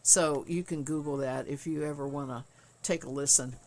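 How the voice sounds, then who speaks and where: A woman streaming live while she spins yarn, chatting casually with viewers in a soft, calming voice and laughing easily. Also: low voice, moderate pace. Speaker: livestream host spinning yarn. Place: home crafting room with spinning wheel.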